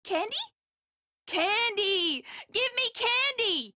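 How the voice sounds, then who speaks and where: A woman voicing a greedy, excitable tiny child in a game with short, insistent exclamations. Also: extremely high pitch, takes it slowly, heavily acted. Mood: excited, demanding.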